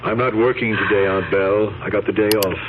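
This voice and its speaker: An actor playing a weary, firm, practical nephew in an old-time radio drama. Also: male voice, on the low side, steady tone, a little fast, natural voice. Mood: practical, solicitous. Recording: tinny, noisy old radio recording with hum.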